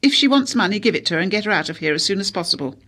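A woman's voice: mid-range voice, expressive, rapid-fire, fairly bright tone, voice pushed up. A woman voicing a haughty, antagonistic grand wife with a clipped upper-class English accent, in an audiobook. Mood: curt, haughty. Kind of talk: audiobook character dialogue.

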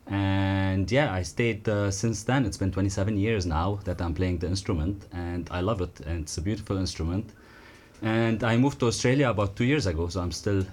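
A man, an oud player telling his story in a studio interview, earnest and halting. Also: deep voice, darkish tone. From Lebanon. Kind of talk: casual interview answers. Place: radio studio.

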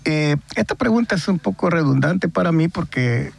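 Gravelly man with hesitant fillers. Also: a little high, expressive, normal speed. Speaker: radio co-host. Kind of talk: interview questions.

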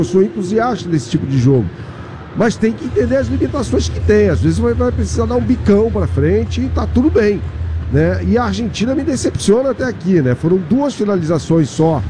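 A Brazilian man giving opinionated match analysis on radio, often tagging his points with a question. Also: on the high side. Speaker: football commentator.

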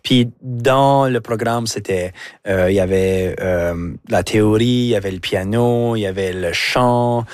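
Low-voiced Acadian man chatting casually. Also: a little slow, darkish tone, no echo, clean studio sound. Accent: Acadian French. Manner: anecdotal, peppered with English words. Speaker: musician guest. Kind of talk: casual interview chat.

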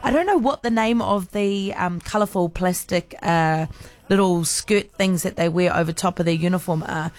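A woman's voice: fairly low pitch, moderate pace. Enthusiastic New woman radio host. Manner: enthusiastic, gushing shout-outs. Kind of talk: casual radio chat.

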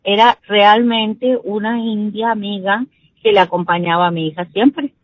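A woman's voice: medium pitch, steady tone, normal speed. A woman in her sixties from Peru calling a radio show and earnestly telling a family story. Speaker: caller. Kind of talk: telling a personal story on a call-in. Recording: dull phone line.

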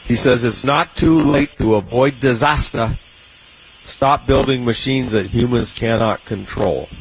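A man's voice: mid-range voice, measured pace, husky, low-quality recording. A man from Southern California with a San Diego accent, hosting a radio finance show in casual, rambling market commentary full of fillers and small chuckles.

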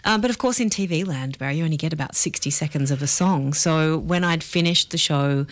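A woman's voice: low-pitched, a little fast, no room sound. A woman, a jazz singer and studio guest, chatting easily and laughing often in a radio interview. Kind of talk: relaxed radio interview chat.

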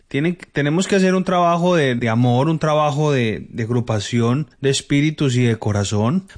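A man gives spiritual advice as a radio host on a live call-in. His pitch is middling, and he speaks familiarly, like to a friend, repeating points and asking pointed rhetorical questions. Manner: addresses listener familiarly as friend, rhetorical questions, emphatic repetition. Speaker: radio host, card reader and folk healer.

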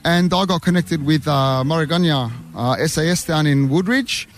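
A man's voice: a little high, moderate pace, a little hiss or hum. A man, a talkative interview guest who rambles with lots of fillers at an outdoor community event. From Armenian heritage. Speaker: interview guest, youth worker. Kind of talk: casual chat.

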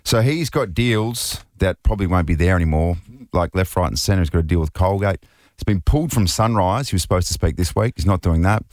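A man's voice: deep voice, animated, brisk, darkish tone, dry recording, clean studio sound. An Australian man from New South Wales co-hosting a radio show, chatting casually with frequent fillers and restarts. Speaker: radio co-host.

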